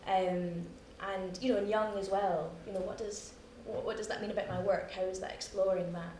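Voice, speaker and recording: A young woman with a Scottish accent, a playwright chatting in a post-show discussion, self-mocking and quick to laugh. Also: mid-range voice, fairly even intonation, warm tone. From Scotland. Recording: echoey room, distant mic, noisy.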